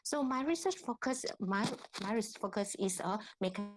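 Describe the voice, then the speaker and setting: A woman with a Malaysian accent lecturing at an online workshop as an academic, in a halting way, often repeating and rephrasing herself. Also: medium pitch, expressive, background noise. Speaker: academic researcher, guest lecturer.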